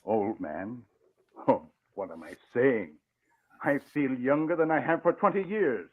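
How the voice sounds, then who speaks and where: A man's voice: medium pitch, lively intonation, a little slow. An actor playing an emotional, proud old father in 1940s radio style, with impassioned, dramatic delivery. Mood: proud, moved. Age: older. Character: emotional proud old father, retired crime-fighting newspaper owner. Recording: muffled, narrow-band old radio recording.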